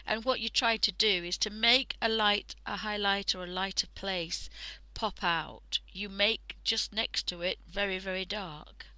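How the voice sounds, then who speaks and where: A woman whose voice is croaky from a bad cold, yet still bright and forward in tone. She talks casually into a headset while drawing, thinking aloud and often sinking into soft half-whispers. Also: mid-range voice, steady tone, unhurried, few pauses, no room sound, low-quality recording. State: hoarse from a cold. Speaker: art livestream host. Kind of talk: casual drawing tutorial commentary.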